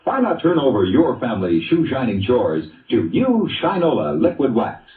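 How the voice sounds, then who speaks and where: A man delivering a scripted vintage radio advertising pitch as a commercial announcer. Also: huge pitch swings, moderate pace, distant mic. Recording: muffled, roomy old radio recording.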